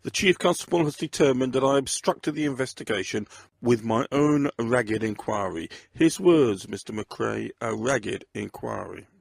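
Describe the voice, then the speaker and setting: A man's voice: medium pitch, expressive, moderate pace, vocal fry, dry recording. A man playing a bitter, self-important police superintendent in an audio crime drama, commanding and barking out his orders. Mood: bitter, aggrieved.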